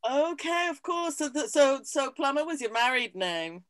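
High-voiced woman hosting a video call. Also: very animated, moderate pace, fairly bright tone, no echo. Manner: friendly, prompting questions. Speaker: host. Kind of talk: moderating a video call event.

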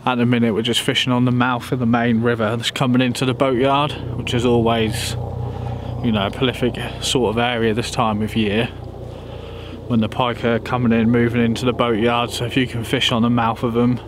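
A young man chats casually to the camera as an angling vlogger. His mid-pitched voice stays quite flat in melody. He is recorded outside, close to the camera, with clear ambient noise around him. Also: normal speed. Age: young adult. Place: outdoors by a river boatyard. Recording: clearly noisy outdoor ambience.

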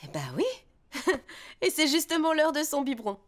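A woman voicing a gentle, soothing mother bear in a preschool cartoon, tender, playful and reassuring. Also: high voice, lively intonation, moderate pace. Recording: loud music behind the voice.

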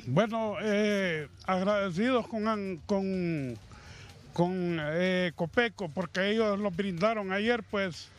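Slow, halting Honduran man outdoors. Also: sits high. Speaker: fire victim interviewed. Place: outdoors by a burned house. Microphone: handheld reporter mic.

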